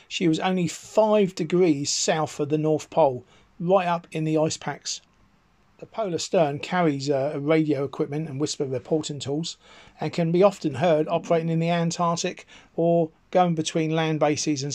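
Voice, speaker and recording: A middle-aged man with a British accent giving a casual, enthusiastic, rambling explanation as a hobbyist video host. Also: a little high. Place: small cluttered home radio room, indoors.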